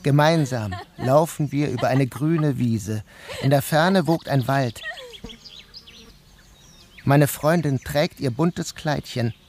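A man's voice: medium pitch, takes it slowly, long pauses, darkish tone. A man narrating an audio drama in the first person, as its protagonist. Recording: noticeable background noise.

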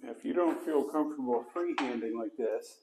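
A man giving casual how-to instructions while he works, talking himself through each step and dropping to quiet mutters. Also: medium pitch, brisk, noisy background. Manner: talks to himself mid-task, drops to mutters. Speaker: flooring installer demonstrating. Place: basement, indoors.

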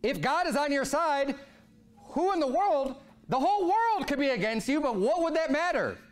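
A pastor in his thirties preaches from the pulpit in a high, bright-edged voice. He talks with fervor and swings from low, quiet asides up to ringing exclamations. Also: normal speed. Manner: animated preaching, voice rising and falling a lot. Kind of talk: sermon. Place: church sanctuary, speaking at a pulpit.

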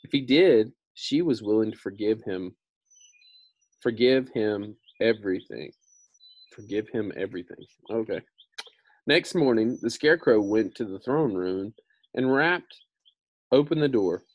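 A man's voice: on the low side, animated, measured pace, long pauses, dry recording, lo-fi sound. A man in his thirties reading a children's book aloud, with casual, explanatory asides and some repetition. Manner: casual, explanatory, repeats himself. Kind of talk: read-aloud storytelling with informal explanatory asides.

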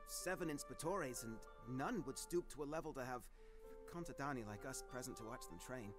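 A man's voice: mid-range voice, brisk, over music, lightly acted. A man playing a deferential, earnest young subordinate in a video game, speaking politely.

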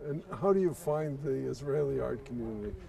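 An older male show host interviewing guests, soft-spoken and affable with brief prompts. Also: medium pitch, animated, a little fast, creaky, crowd noise. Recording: off-mic, distant.

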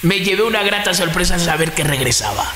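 A man's voice: sits high, expressive, fast, pushed and bright, a little hiss or hum. An actor playing an apologetic, chatty older maintenance man in a radio soap opera, with a stammering, flustered manner. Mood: gossipy, ominous. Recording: roomy echo, music underneath. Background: music.

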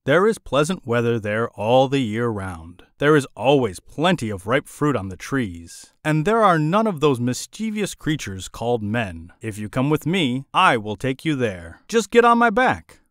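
A man's voice: medium pitch, big pitch swings, a little slow, few pauses, a bit bright, dry recording, clean studio sound. A man voicing an honest, eager jellyfish servant who coaxes a monkey in a storybook reading. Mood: coaxing. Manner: coaxing, persuasive.

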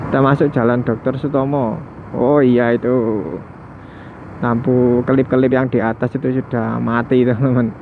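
A man keeps up casual chatter as he rides a motorbike at night. His voice sits at a middling pitch and comes through a thin, phone-like headset mic. Steady engine and traffic noise runs under every word. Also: moderate pace. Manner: chatty, muses aloud, chuckles now and then. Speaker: motovlogger. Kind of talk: casual riding commentary. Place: on a motorbike on night city streets. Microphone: headset mic worn while riding. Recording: tinny helmet mic with loud road noise.

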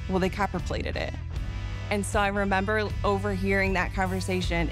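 A young American woman employee reminiscing in a company documentary, her voice slightly low over clear guitar music. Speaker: employee interviewed. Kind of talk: conversational documentary interview.

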